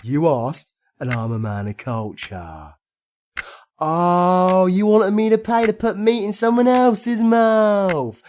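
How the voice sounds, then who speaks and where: A man's voice: sits high, lively intonation, slow pace, a little mellow, slight background noise. A man playing a foul-mouthed, sarcastic, childish British villain cast as a miserly Scrooge in a comedy audio drama. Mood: mocking. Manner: cheeky, crude, slangy, mocking.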